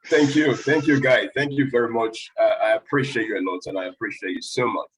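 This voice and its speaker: A man's voice: mid-range voice, steady tone, brisk, slight background noise, low-quality recording. A man hosting an online panel discussion on a video call, encouraging speakers and keeping things moving. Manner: encouraging, wraps up speakers briefly. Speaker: host.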